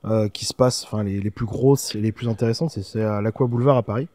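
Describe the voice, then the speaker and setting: A man in his thirties, a podcast guest telling his story in a relaxed way, full of fillers, close on a studio mic. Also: on the low side, fast, a little hiss or hum. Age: 30s. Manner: easygoing, many fillers. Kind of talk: casual interview answers. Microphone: studio podcast microphone.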